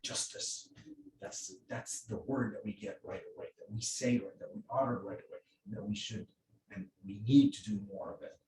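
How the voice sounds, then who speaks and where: A middle-aged man from Egypt speaking on a panel in English, with many halting restarts and fillers. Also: lowish voice, a little mellow. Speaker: mosque outreach volunteer. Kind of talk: panel discussion. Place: event room. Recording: roomy distant sound with faint noise.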